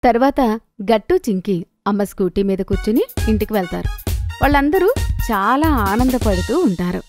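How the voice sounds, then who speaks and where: A woman's voice: mid-range voice, lively intonation, normal speed, music in the background. A woman reading scripted narration for a children's story in speech.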